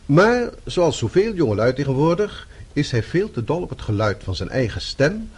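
A man's voice: fairly high pitch, big pitch swings, moderate pace, breathy edge. A man acting in a radio play as a stern, middle-aged boss who speaks formally with dry sarcasm. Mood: dryly disapproving. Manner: formal, clipped, dryly sarcastic. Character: stern, sardonic middle-aged male boss, fatherly and disapproving.

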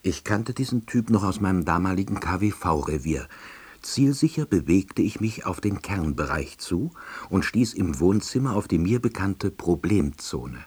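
A man's voice: sits low, husky, heavily acted. An actor playing an earnest, awkward, self-important salesman, narrating his life drily and wordily in a radio drama. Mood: dry, earnest. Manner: dry, wordy, officialese.

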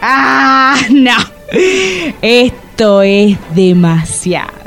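An actress as a high-voiced Argentine mother, hot-tempered and melodramatic. She speaks with slow, drawn-out indignation in a comic radio drama, with music playing clearly behind her. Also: animated, a bit bright, heavily acted. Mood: indignant. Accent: Argentine Rioplatense.

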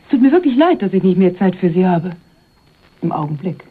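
A woman's voice: fairly low pitch, very animated, fairly quick. An actress playing a cool, teasing woman in a German radio crime drama, coy and dismissive with an admirer. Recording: phone-like old radio drama, clear noise.

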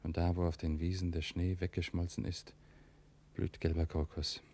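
A wistful war veteran turned clerk in a radio drama. His voice is very low, his delivery plain and resigned, that of someone worn down. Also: little pitch movement, normal speed, darkish tone. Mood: wistful, weary.

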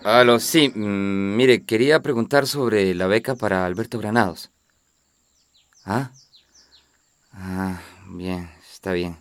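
An actor playing an unhurried man in a radio drama, low-key and hesitant. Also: soft background music. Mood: subdued. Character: unhurried man making a phone inquiry.

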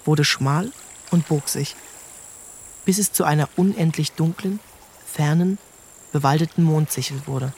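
A man narrating a literary audiobook in a calm, measured storytelling voice. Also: fairly high pitch, vocal fry, slight background noise.

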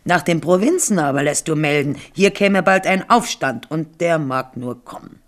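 A woman's voice: sits low, expressive, moderate pace. Scheming old queen mother, cynical and condescending, radio drama. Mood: scheming, scornful. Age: older. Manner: condescending, calculating. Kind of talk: 1970s German radio play acting.

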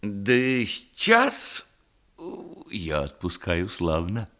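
An actor playing a boastful, jolly hussar nephew in a theatrical verse radio comedy, hearty and blustering, full of exclamations. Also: male voice, lowish voice, wildly swooping pitch, drawn-out words, pushed and bright, exaggerated voice. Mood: evasive bluster.

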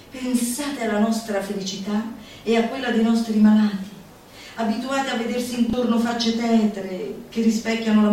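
A woman's voice: on the high side, darkish tone, natural voice. A woman playing a zealous lecturer, declaiming a comic manifesto in an emphatic, mock-solemn theatrical manner. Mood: mock-solemn relish. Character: zealous female lecturer preaching gleeful laughter at misfortune. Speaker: stage performer. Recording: roomy echoing live venue, distant mic, faint noise.

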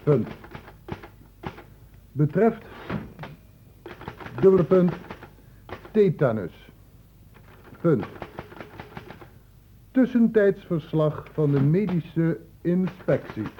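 Brisk medical inspector, tinny recording. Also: male voice, a little high, expressive. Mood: formal dictation. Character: brisk, sceptical male public health medical inspector. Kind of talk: radio play acting. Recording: tinny, noisy old radio play recording.

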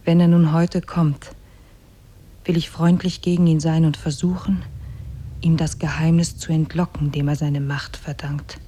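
An actress in a 1950s German radio drama playing a devoted, cunning captive wife with soft, earnest delivery. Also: female voice, deep voice, level tone, a little slow. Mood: confiding, resolute. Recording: noisy 1950s radio recording.

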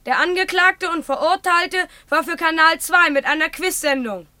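A woman's voice: extremely high pitch, normal speed, bright, forceful tone, dry recording. A woman playing a stern, pompous child who formally reads out a court record in a German radio play. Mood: officious, stern. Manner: officious, formal recitation.

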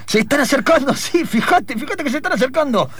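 A man's voice: extremely high pitch, expressive, fast talker, fairly bright tone, dry recording. A man playing a radio narrator and match commentator, repeating phrases in play-by-play fashion in improvised comedy. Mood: excited commentary. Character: breathless football commentator turned storytelling radio narrator.

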